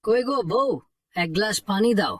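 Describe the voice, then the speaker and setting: A man's voice: high-pitched, lively intonation, moderate pace, distant mic. A man playing an easygoing village watchman and husband in a cartoon folk tale, speaking in a casual, reassuring way. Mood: mildly weary.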